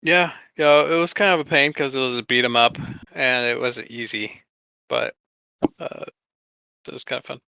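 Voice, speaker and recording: Clear, bright-voiced man chatting casually. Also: mid-range voice, fairly even intonation, normal speed, no echo. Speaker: podcast co-host. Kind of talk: casual podcast chat.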